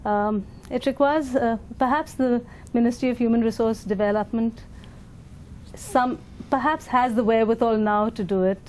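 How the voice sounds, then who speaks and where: A woman's voice: on the high side, moderate pace, darkish tone, slight background noise. An Indian woman leading an education foundation, answering interview questions thoughtfully with fillers and restarts.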